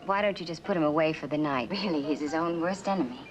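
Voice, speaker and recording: An actress playing a cynical, mocking femme fatale in a mid-century suspense drama, coolly flippant in manner. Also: female voice, low voice, fast talker, lo-fi sound, over music, lightly acted.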